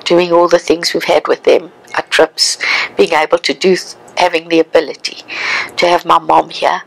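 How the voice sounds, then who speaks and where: A woman's voice: on the low side, flat delivery, moderate pace, vocal fry, low-quality recording. A woman in her sixties with a South African accent, looking back on her life in a reflective, conversational oral history interview. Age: 60s.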